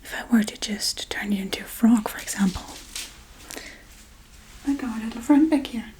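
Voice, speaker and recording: A woman voicing a playful, forgetful, menacing witch in a hushed, coaxing, whispery ASMR role-play. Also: fairly high pitch, normal speed, close to an everyday voice. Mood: teasing, menacing. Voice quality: breathy, whispery.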